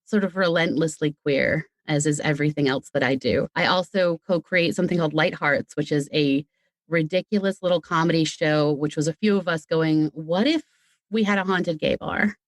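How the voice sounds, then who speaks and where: A woman with a slightly low voice talks as a guest on a podcast. She is talkative and playful, keeping things conversational. The recording is dry, with hardly any room sound. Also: moderate pace, no room sound. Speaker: podcast guest, audio drama creator. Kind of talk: casual interview chat.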